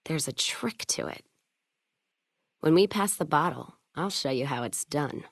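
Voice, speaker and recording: A woman's voice: deep voice, animated, unhurried, vocal fry, slightly rough, dry recording, studio quality, heavily acted. A woman playing a tough, hard-drinking, world-weary caravan owner in a video game, blunt, sardonic and foul-mouthed. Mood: sardonic, dry. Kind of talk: video game character dialogue.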